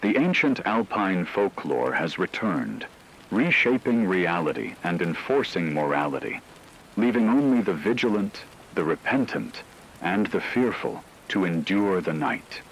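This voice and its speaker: A deep-voiced male radio newscaster played by an actor delivers horror bulletins in a grave, measured tone. The scripted delivery is solemn and ominous, with clear rain-like noise behind it. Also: very animated, exaggerated voice, rough recording. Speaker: radio news host.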